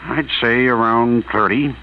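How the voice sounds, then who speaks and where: A man's voice: mid-range voice, fairly even intonation, a little slow, lightly acted. A man playing a world-weary, blunt coroner in a 1940s radio drama, dry and sardonic. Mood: wry, weary. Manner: dry, sardonic, matter-of-fact. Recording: muffled vintage radio, faint noise.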